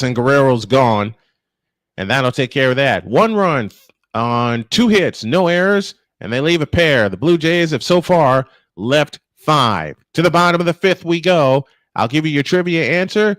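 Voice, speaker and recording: Male sports commentator doing live baseball play-by-play, medium-pitched, dry studio-close sound. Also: fairly bright tone, no echo.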